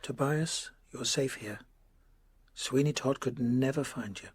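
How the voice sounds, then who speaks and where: An actor playing a protective, reassuring gentleman and storyteller, gentle and measured, in a period audio drama. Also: medium pitch, husky, dry recording. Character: reassuring, protective gentleman who also narrates the tale.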